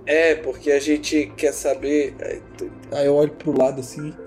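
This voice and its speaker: A man's voice: fairly high pitch, flat delivery, moderate pace, a little mellow, faint music behind, close to an everyday voice. A man playing an easygoing working man in a tabletop roleplay, hesitant and colloquial as he describes his actions. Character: laid-back, plain-spoken working man, easygoing sidekick. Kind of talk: improvised tabletop roleplay, narrating own actions.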